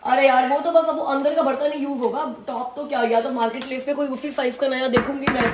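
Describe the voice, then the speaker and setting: A woman from India with a naturally husky voice, hoarse and strained today, chatting casually to camera as a family vlogger and laughing often. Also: sits high, fairly even intonation, fast, echoey room, heavy background noise.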